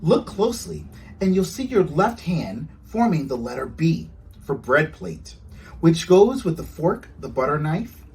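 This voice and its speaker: A man's voice: a little high, a little mellow, distant mic. A man voicing a small, helpful pet hamster who teaches manners in an encouraging, instructive way in a children's storybook. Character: small male talking pet hamster, helpful etiquette tutor.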